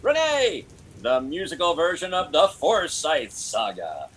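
A man's voice: fairly high pitch, wildly swooping pitch, unhurried, a bit bright, breathy edge, heavily acted. A man playing a musing Hollywood talent scout in old-time radio drama style. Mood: admiring, musing. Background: rain.

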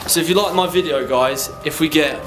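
A young man, vlogging casually as he explores an abandoned building, excitable and swearing freely. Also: fairly high pitch, a little fast. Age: young adult. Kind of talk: casual vlog narration while exploring. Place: abandoned building, indoors and out at night. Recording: music playing loudly behind.

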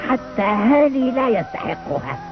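A woman's voice: fairly high pitch, sing-song, moderate pace. A woman playing a loyal, emotional young boy hero in a dubbed fairy-tale cartoon. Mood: indignant. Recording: dull lo-fi dub with loud music.

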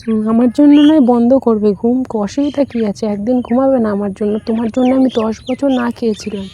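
A woman's voice: on the high side, fast talker, dark tone. A woman making a teasing, flirtatious prank phone call, speaking boldly in a noisy outdoor spot. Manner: bold, teasing. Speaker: prank caller. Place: open field outdoors.

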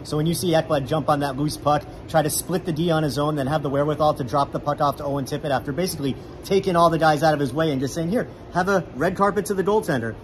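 A man's voice: on the high side, slight background noise. A man in his thirties, a guest sports reporter giving eager, casual game analysis in a hockey arena. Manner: quick, enthusiastic, many "I mean" fillers. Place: indoor hockey arena beside the rink. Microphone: phone camera at arm's length.

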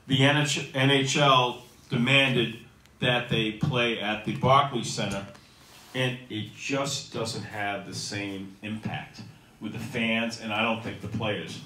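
A middle-aged man talks sports into a handheld microphone at a restaurant table, hitting his key words hard. His voice has a bright, forward edge. The room sounds echoey and he sits a little off the mic. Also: medium pitch, steady tone, takes it slowly, far from the mic. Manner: emphatic, punchy delivery with rising stresses. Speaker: host. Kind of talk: casual sports talk show. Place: small restaurant dining room, seated at a table.

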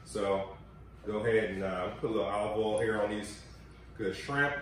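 A man's voice: fairly low pitch, steady tone, normal speed, slight background noise. Man talking from far in an echoey kitchen. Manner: chatty, coaching, health tips. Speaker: cooking show host. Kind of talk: casual cooking demonstration. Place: home kitchen, indoors. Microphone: far camera mic.

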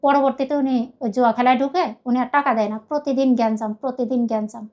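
High-voiced, fast-talking Bangladeshi woman. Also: steady tone, a little mellow. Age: middle-aged. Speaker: guest telling their life story. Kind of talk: emotional personal account in conversation.

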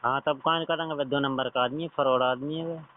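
A man's voice: mid-range voice, steady tone, a little fast. A man asking questions as a reporter in a casual phone interview. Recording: tinny phone call recording.

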